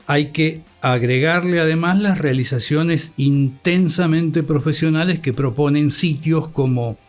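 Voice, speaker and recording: A middle-aged man with an Argentine accent, giving reflective, measured answers as an academic guest, in formal vocabulary. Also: fairly high pitch. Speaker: interview guest, communication academic and scriptwriter. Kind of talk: reflective interview talk. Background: music bed.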